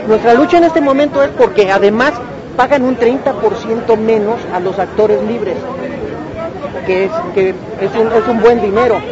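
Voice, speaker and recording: A man's voice: high-pitched, moderate pace. Mexican man, earnest explaining, muffled sound, noisy crowd behind, outdoor protest. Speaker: striking voice actor. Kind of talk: street protest interview. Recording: muffled street recording with loud crowd.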